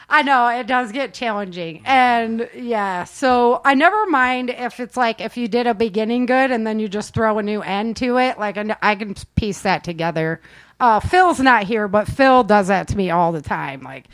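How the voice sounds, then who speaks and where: A woman's voice: on the high side, normal speed, dry recording. A woman hosting a casual group chat, interviewing performers in an informal, chatty way and prompting others to speak. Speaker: host and producer of an audio drama.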